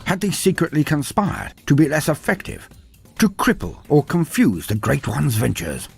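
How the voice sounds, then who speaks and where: A man's voice: fairly high pitch, big pitch swings, normal speed, vocal fry, breathy edge, faint music behind. A man giving scripted dramatic narration of fantasy war lore in a theatrical, wry storybook manner.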